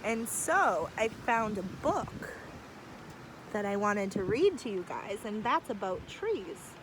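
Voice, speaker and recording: Slow-reading woman teacher, storytime voice. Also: mid-range voice, background noise. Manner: slow, warm storytime delivery with lively asides. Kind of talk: children's read-aloud. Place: outdoors near trees.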